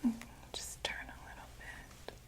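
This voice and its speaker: A woman playing a gentle vampire doctor in a whispered ASMR medical roleplay, reassuring and a little hesitant. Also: measured pace, natural voice. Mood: calm, reassuring. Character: gentle female vampire doctor examining a patient.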